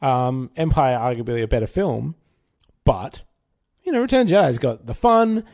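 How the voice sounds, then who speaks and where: Animated, creaky-voiced man bantering casually. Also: mid-range voice, normal speed, dry recording, studio quality. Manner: jokey, enthusiastic, sweary. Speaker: podcast co-host. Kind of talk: casual banter about films.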